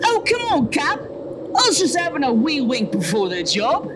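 A woman's voice: sits high, huge pitch swings, normal speed. A woman voicing a small, lazy red panda pirate sidekick in a cartoon, with a cheeky, grumbling, colloquial way of talking. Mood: sheepish, cheeky. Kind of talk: animated action-adventure voice acting. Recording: loud vehicle and ambient noise.

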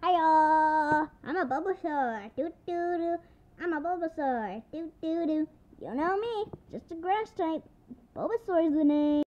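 A woman voicing a calm, smug little plant creature in a chatty, drawn-out way, heard through a tinny phone-like recording. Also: sits high. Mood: cheerful.

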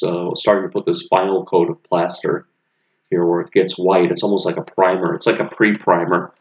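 Man, deep monotone, relaxed rambling voice-over, slightly dull audio. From United States. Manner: relaxed, rambling, many fillers and restarts. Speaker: vlogger showing his apartment renovation. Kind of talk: casual narration over photos.

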